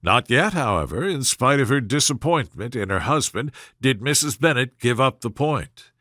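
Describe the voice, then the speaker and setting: A man reading a classic novel as a bedtime sleep story, in measured, deliberate scripted narration. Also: mid-range voice, big pitch swings, sharp tone, no room sound, clean studio sound. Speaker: audiobook narrator.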